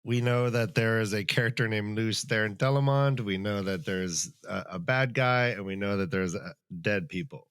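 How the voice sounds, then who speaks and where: A man's voice: on the low side, normal speed, few pauses, a bit bright, clear, resonant tone, no echo. A man co-hosting a podcast, chatting casually about a book. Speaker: podcast co-host.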